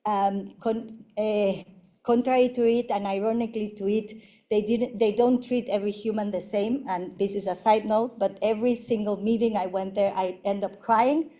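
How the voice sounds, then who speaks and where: A middle-aged woman from Mexico, an architect giving a university lecture on her projects, speaking haltingly with many fillers and restarts. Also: medium pitch, fairly even intonation, mellow.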